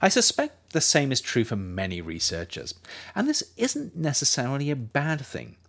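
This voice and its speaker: Expressive man narrating a reflective audiobook. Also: medium pitch, breathy edge. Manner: measured, reflective, articulate delivery. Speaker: author reading his own book chapter as narrator.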